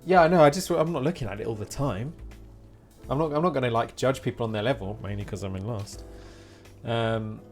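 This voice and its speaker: A man's voice: mid-range voice, brisk. A man streaming a game, chatting informally with rambling explanations. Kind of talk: casual live-stream chat while gaming. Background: game music.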